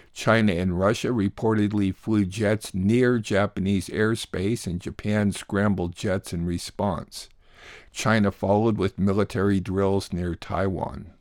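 A man's voice: fairly low pitch, a little mellow, no room sound, clean studio sound. A man hosting a radio program, reading scripted announcements and news summaries. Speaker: radio show host and producer.

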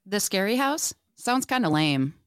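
A woman's voice: mid-range voice, very animated, a little slow, a bit bright, smooth, dry recording, clean studio sound, pitched above the performer's own voice. A woman reading a gloomy, unimpressed young woman in a comedy-horror table read.

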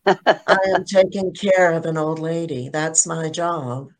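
An older woman speaking as a panelist in a casual online discussion, slow and thoughtful, often restarting her sentences. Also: deep voice, rough recording. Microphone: video call.

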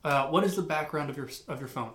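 A man's voice: fairly high pitch, fast, a bit bright. A man hosting a casual long-form podcast chat, informal and agreeable, often chiming in. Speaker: podcast host. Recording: echoey, reverberant room.

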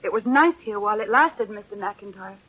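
Actress as a husky-voiced, remorseful young newspaperwoman. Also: medium pitch, lively intonation, fairly quick, lightly acted. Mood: bitter, resigned. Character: young woman newspaper reporter, torn and remorseful. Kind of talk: 1930s radio acting. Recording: muffled, lo-fi 1930s radio broadcast.

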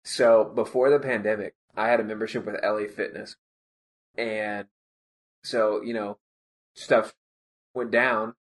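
A man's voice: on the low side, fairly even intonation, a little slow, long pauses. A man co-hosting a podcast, chatting casually and telling a joking anecdote. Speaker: podcast co-host. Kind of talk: casual chat.

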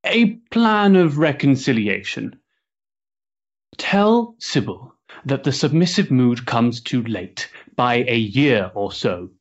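An actor playing a tense, formal gentleman of the Victorian era, clipped and hesitant, in a period stage drama. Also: medium pitch, big pitch swings, takes it slowly, muffled recording, heavily acted. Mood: curt, resolved.

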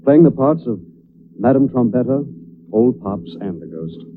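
An actor playing a confident detective who explains things with assurance in 1940s radio drama style. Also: male voice, mid-range voice, expressive, measured pace, close to an everyday voice. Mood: assured, explaining. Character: confident male detective explaining a solved case. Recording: noisy, tinny old radio recording.

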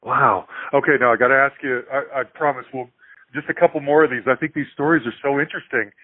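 An eager male podcast host with a slightly husky voice asks questions and throws in quick, keen reactions. The audio is dry and dull, with faint music underneath. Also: medium pitch, fairly even intonation, dry recording. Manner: enthusiastic, brief reactions. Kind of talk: interviewing.

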